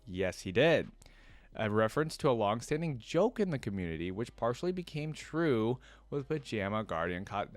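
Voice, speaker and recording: A man with a fairly low voice gives casual reaction commentary. He sounds curious and thinks aloud as he goes, recorded close and dry. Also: dry recording. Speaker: reaction video host.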